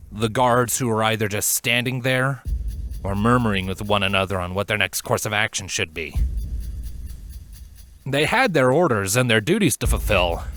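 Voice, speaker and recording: A man's voice: fairly low pitch, fairly bright tone. A man reading a fantasy story aloud as a dramatic scripted narration. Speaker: fan fiction narrator.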